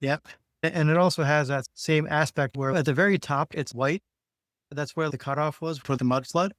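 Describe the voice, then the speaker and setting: A man explaining things casually, in an informal, halting way as he thinks aloud. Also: a little high, a bit bright, no echo, clean studio sound. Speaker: podcast co-host.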